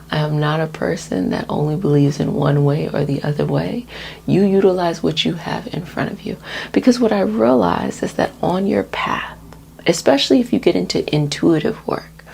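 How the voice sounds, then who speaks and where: A woman with a soft, breathy voice that often drops to a whisper gives a soothing, gently reassuring ASMR energy healing talk. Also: on the low side, slight background noise. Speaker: energy healer and host of healing sessions.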